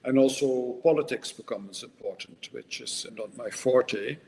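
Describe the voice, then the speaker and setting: A man, a podcast guest who speaks in a measured way and pauses often with "uh". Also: mid-range voice, warm tone. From Netherlands. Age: 60s. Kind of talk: podcast interview. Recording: long reverb, faint background noise.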